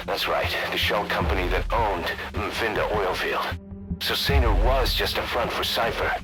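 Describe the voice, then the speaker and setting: An actor playing a serious, businesslike military intelligence officer, giving a measured, clipped radio briefing in a video game. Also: male voice, low voice, lightly acted, rough recording. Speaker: mission support officer.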